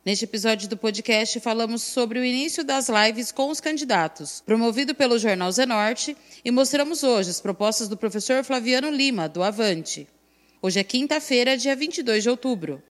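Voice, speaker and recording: A woman's voice: fairly high pitch, expressive, moderate pace. A woman with a Brazilian accent reads a scripted news podcast as its presenter. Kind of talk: scripted narration.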